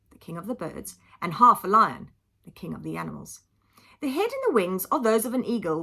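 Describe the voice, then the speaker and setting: Animated, slightly husky Englishwoman reading a storybook. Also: medium pitch. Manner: animated, dramatic emphasis, explaining simply for children. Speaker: teacher reading aloud to children. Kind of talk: expressive children's storybook reading with explanatory asides.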